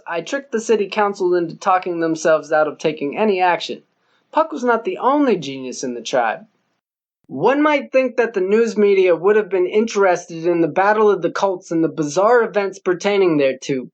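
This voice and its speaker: A man's voice: high-pitched, lively intonation, normal speed, highs cut off. A man narrating a novel audiobook in a dry, wry storytelling manner.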